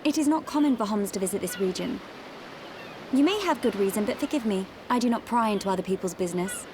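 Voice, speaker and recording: A woman's voice: medium pitch, lively intonation, fast, background noise, close to an everyday voice. An actress playing a reserved, dignified young noblewoman in a video game, with a formal, clipped delivery. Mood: formal, composed. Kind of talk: video game cutscene acting.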